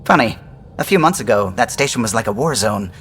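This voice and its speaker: A man's voice: mid-range voice, animated, a little fast, fairly bright tone, slight background noise, close to an everyday voice. A man playing an earnest, resolute starship pilot, speaking solemnly in video game dialogue. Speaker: pilot character.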